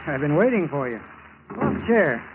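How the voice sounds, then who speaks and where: An actor playing an affable, middle-aged FBI agent in a 1940s radio drama. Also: male voice, a little high, very animated, normal speed, highs cut off. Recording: noisy old 1940s radio recording.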